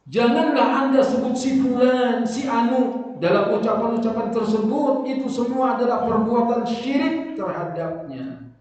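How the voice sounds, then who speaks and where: High-voiced preacher in a far-off echoing hall. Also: male voice, a little slow. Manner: lively, swings between loud stresses and quiet asides, acts out everyday quotes. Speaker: Islamic preacher. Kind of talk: sermon-style religious lesson. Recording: echoey hall from far off, dull lo-fi.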